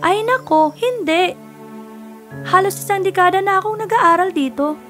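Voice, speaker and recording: A woman playing a chatty, knowing young princess who acts as a guide in an animated fairy tale. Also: very high voice, moderate pace, soft background music.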